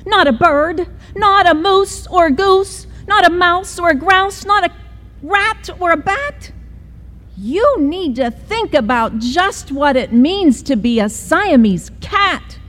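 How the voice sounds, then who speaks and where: A woman voicing a bossy mother cat who scolds her kitten in a high, strained voice. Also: animated, takes it slowly, fairly bright tone, in a large hall, full character voice. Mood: lecturing. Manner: shrill scolding.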